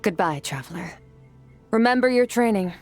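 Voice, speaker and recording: A woman voicing an ancient, calm swordswoman who talks in a measured, solemn, philosophical way, in a video game. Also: medium pitch, faint music behind, close to an everyday voice. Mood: gentle.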